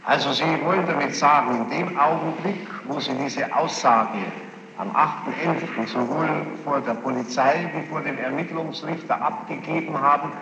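A man formally questioning a witness as a judge, probing and insistent, with halting phrasing and a gravelly voice. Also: a little high. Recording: noisy, muffled archival tape, echoey hall.

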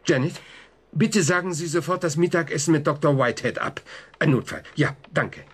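An actor playing a probing, reassuring psychiatrist in a German radio drama, explaining things in a clinical manner with a husky voice. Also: male voice, on the high side, moderate pace, background noise, natural voice. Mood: professional, reassuring. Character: conscientious male psychiatrist, probing, reassuring, easily unsettled. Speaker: doctor.

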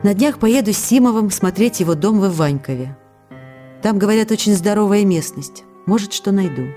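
A woman's voice: medium pitch, animated, a little fast, mellow, close to an everyday voice. A woman playing a loving, worried actress wife who reads her letters in a radio drama. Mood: confiding, sad. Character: loving, anxious actress wife, tender and reproachful. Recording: music playing behind the voice.

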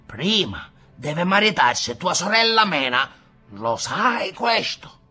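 Guy doing a cranky old grandpa, husky and raised up, slow scolding, storybook. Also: high-pitched, sing-song, sharp tone, faint music behind, pitched above the performer's own voice, heavily acted. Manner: stern, scolding. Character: old grandfather, weary and stern head of family.

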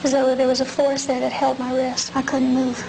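An American woman recounts her past in a subdued way. Her voice is high and flat, with a lot of creak. The archive interview recording is noisy. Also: moderate pace. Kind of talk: spoken personal recollection. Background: noise.

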